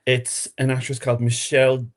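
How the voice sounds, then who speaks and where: A man talks as a guest on a podcast. His voice sits at an average pitch and he explains things in a casual, conversational way. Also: monotone, brisk. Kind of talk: casual chat.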